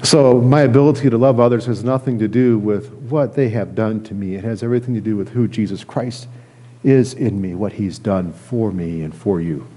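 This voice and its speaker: Mellow middle-aged male preacher. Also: fairly low pitch, normal speed, breathy edge. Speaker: pastor. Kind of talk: conversational sermon. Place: church sanctuary, at a lectern indoors.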